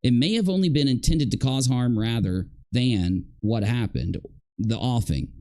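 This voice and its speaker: A man's voice: medium pitch, a little slow, mellow. A man hosting a livestream, giving casual, rambling commentary and reading aloud with grumbling, joking asides.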